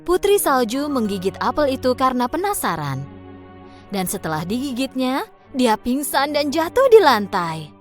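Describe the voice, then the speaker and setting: A woman narrating a children's cartoon fairy tale in an expressive storytelling manner. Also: high-pitched, moderate pace, soft background music.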